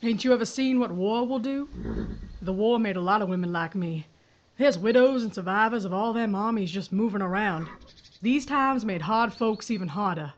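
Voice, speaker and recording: A woman's voice: medium pitch, normal speed, muffled recording, natural voice. A woman playing a blunt sheriff. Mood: steely. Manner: terse, dry, gritty. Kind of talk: audio drama acting.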